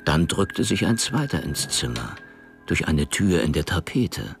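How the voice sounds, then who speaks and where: Very deep-voiced male narrator over music. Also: very animated, a little slow. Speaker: narrator of a radio crime play. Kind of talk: scripted literary narration.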